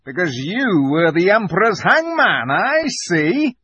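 A man's voice: high voice, very animated, slow pace, sharp tone, husky, no echo, dull audio, voice pushed up, full character voice. A man voicing an outspoken, jeering male beaver in an audiobook. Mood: mocking. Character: blunt, mocking male talking beaver. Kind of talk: audiobook character voice.

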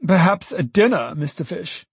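Male reader as self-important storybook alderman: raised pitch, exclamatory, piously lamenting, dry close recording. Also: animated, moderate pace, creaky, slightly rough, dry recording, heavily acted. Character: pompous, sanctimonious alderman, excitable and self-important man. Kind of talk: dramatized audiobook character voice.

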